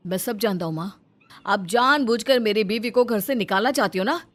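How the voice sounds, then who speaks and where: A woman's voice: mid-range voice, moderate pace, fairly bright tone, natural voice. A woman voicing a protective, hot-tempered young husband who scolds and accuses in a melodramatic story cartoon. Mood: angry, accusing. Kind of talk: melodramatic Hindi moral-story cartoon acting.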